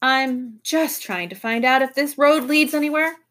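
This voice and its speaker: A woman reads as a courteous male professor in a children's storybook. He speaks brightly and decisively, with patient good manners and a fairly high pitch. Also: sing-song, normal speed.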